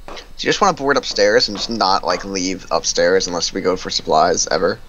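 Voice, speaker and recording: A young man with a somewhat low voice jokes and swears through a co-op zombie game. His voice comes over chat with faint game sounds underneath. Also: animated, a little fast, a bit bright, highs cut off, slight background noise. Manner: joking, swears freely, breaks into playful singing. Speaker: co-op player and friend of the host. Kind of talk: casual gaming chatter.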